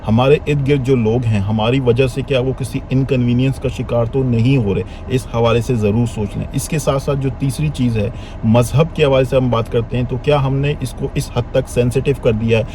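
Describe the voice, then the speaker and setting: A man's voice: mid-range voice, steady tone, fairly quick, few pauses. A man with a Pakistani accent giving an earnest opinion monologue to viewers, often posing rhetorical questions. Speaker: vlogger. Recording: loud outdoor street and traffic noise.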